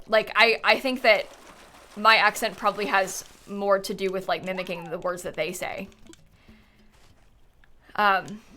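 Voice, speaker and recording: A woman's voice: mid-range voice, bright, forceful tone. A young American woman with a slightly Midwestern-leaning accent, chatting casually on a livestream and answering viewers, rambling with lots of "like" and "um" and frequent laughs. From Central Florida, US. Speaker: streamer.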